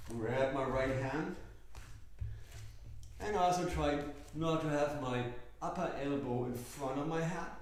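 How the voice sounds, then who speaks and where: A man leading a yoga class, speaking softly and slowly with gentle instructions. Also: a little high. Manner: soft, slow, gently instructive. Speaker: yoga teacher. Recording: very echoey room.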